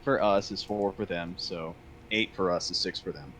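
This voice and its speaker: Low-voiced American man, noisy line with rumble. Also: normal speed, a bit bright. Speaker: companion on a game livestream. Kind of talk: casual chat.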